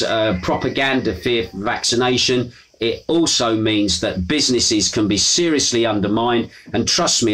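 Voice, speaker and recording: A man's voice: medium pitch, fairly bright tone, rough recording. Chatty man doing live news. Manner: chatty, frequent "uh" and "anyway" fillers. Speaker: broadcaster reading news and viewer messages. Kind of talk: live news commentary broadcast.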